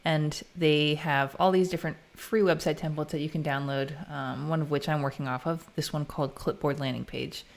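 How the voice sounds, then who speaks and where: A woman's voice: low voice, steady tone, moderate pace, vocal fry, hardly any pauses. An American woman live streaming as she codes, chatting informally and thinking aloud in a casual way. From United States. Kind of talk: casual live stream chat while coding.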